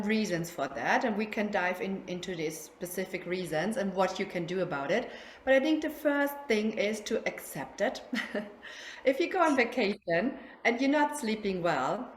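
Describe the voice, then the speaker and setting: A woman's voice: medium pitch, expressive, normal speed, slight background noise. A German woman in her forties, a sleep consultant, chatting in a podcast interview and laughing now and then. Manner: chatty, laughs often, restarts words. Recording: roomy echo, faint ambience.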